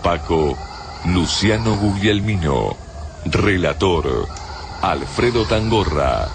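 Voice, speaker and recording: A man with an Argentine accent reading scripted radio drama credits in a measured, formal announcer's manner. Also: low voice, expressive, gravelly. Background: prominent music.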